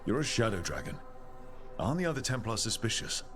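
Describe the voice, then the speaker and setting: Calm, low-voiced game hero. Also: male voice, fairly quick. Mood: curious. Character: calm, inquisitive male fantasy adventurer and leader. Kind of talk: video game dialogue. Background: music.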